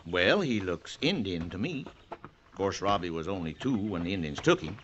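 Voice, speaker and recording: Folksy ranch hand, lively pitch. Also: male voice, moderate pace. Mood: confiding, deferential. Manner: folksy drawling cowboy talk. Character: easygoing, obedient, talkative male ranch hand. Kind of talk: old-time radio western acting. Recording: muffled, noisy vintage radio recording.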